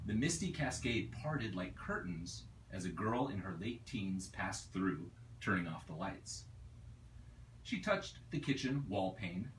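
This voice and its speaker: Animated male storyteller in a roomy space. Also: fairly low pitch. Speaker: author reading his novel. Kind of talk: expressive storybook narration. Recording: roomy echo, distant mic, faint noise.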